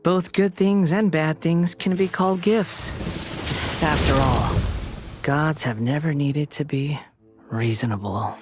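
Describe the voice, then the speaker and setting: An actor playing an arrogant, youthful villain who thinks himself a new god, speaking in haughty, mocking game monologue. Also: male voice, fairly high pitch, slow pace, loud music behind the voice. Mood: condescending.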